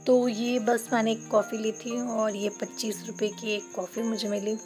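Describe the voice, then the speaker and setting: A woman's voice: a little high, flat delivery, normal speed, music in the background. A woman from Bokaro in India, chatting through her train journey as a travel vlogger and explaining each step to viewers on a handheld phone camera. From Bokaro, Jharkhand, India. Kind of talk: casual travel vlog narration. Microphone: phone camera held while filming.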